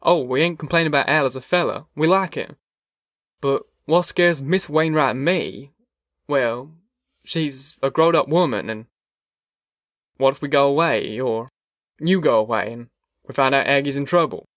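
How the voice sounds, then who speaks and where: A man's voice: mid-range voice, normal speed, a bit bright, dry recording, studio quality, lightly acted. A man voicing an earnest, worried poor farmer and father in an audiobook, hesitant and polite, with a rural Southern American accent. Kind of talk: audiobook character dialogue.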